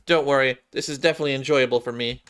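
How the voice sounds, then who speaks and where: A man's voice: mid-range voice, fairly even intonation, racing, fairly bright tone, clean tone, lightly acted. Man reading a timid, mild-mannered policewoman. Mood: earnest.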